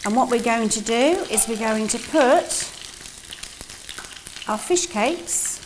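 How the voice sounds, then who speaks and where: A chatty woman with a slightly high voice co-hosts a cooking demonstration and teases her partner as she goes. Faint frying sounds carry in the kitchen behind her. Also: slow, noisy background. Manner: chatty, teasing. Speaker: cooking show co-host.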